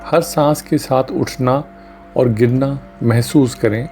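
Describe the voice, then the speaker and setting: A man narrates a guided meditation at a very slow pace, leaving long pauses between short, gentle instructions. His mid-pitched voice is mellow and relaxed, and music plays behind him. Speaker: doctor leading a guided meditation. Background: music under the voice.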